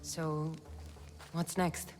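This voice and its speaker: An actress playing a bold young mercenary leader in a video game cutscene. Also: female voice, sits low, flat delivery, very slow, soft background music. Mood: soft, warm. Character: bold young adult Greek mercenary woman, seafaring leader.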